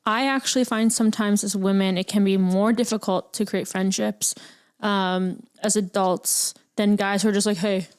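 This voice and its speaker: A woman's voice: mid-range voice, steady tone, moderate pace, smooth. A young adult woman chatting candidly as a podcast guest, talking freely and filling her speech with "like". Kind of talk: casual chat.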